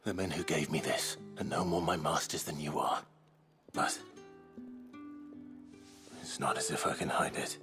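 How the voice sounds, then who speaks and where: An actor playing a gruff, wary outlaw warrior in a video game, with a terse, brooding delivery. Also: male voice, extremely low pitch, fairly even intonation, a little slow, pauses often. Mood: indignant. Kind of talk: video game cutscene acting. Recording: music clearly behind the voice.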